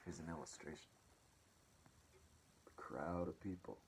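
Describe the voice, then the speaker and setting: A man reading a children's story aloud as an expressive storyteller. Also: deep voice, measured pace, slight background noise.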